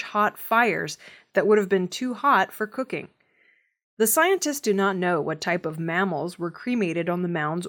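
A woman reading scripted news stories as the presenter of an audio newscast. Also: medium pitch. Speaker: news reader.

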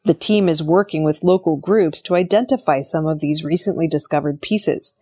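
A woman's voice: sits low, moderate pace, dark tone, slightly rough. A woman news presenter reading scripted news narration.

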